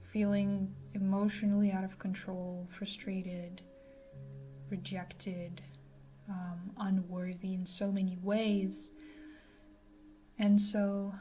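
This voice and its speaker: A woman in her twenties giving a personal talk to camera in a halting, reflective way, often pausing mid-sentence. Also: mid-range voice, dark tone. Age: 20s. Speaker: vlogger sharing a personal testimony.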